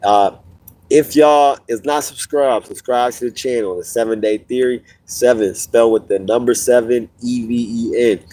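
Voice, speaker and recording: A man's voice: medium pitch, moderate pace, poor audio. A man hosting a casual podcast livestream, telling stories with lots of repeats and restarts, sniffling as he gets over a cold. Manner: animated storytelling, repeats and restarts phrases. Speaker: podcast host.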